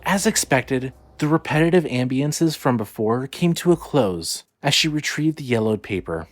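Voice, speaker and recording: Animated male fiction narrator. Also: mid-range voice, moderate pace. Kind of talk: dramatic scripted fiction narration. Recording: faint background noise and ambience.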